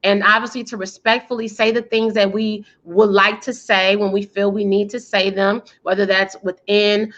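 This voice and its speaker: A woman's voice: mid-range voice, flat delivery, moderate pace, few pauses, highs cut off. An American woman giving articulate, emphatic answers as an interview guest, with a powerful, self-assured voice. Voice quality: loud, powerful voice. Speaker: interview guest, PR coach and speaker.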